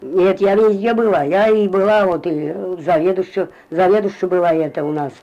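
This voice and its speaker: Old village woman with a dark, lo-fi voice. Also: fairly low pitch, moderate pace. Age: older. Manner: meandering, repetitive, drops to a mumble at phrase ends. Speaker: interviewee, retired farm worker. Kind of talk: rambling spoken memories. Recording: lo-fi field recording.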